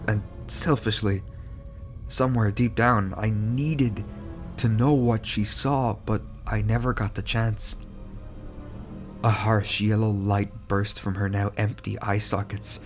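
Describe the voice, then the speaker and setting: A man with a stuffy cold voice narrating a horror story in a measured, slow, dramatic delivery. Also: lowish voice. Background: music.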